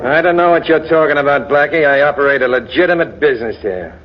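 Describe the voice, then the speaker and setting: An actor playing an evasive, indignant racketeer boss in a 1940s radio crime drama. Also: male voice, on the high side, fast talker, soft background music, close to an everyday voice. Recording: noisy, muffled 1940s radio recording.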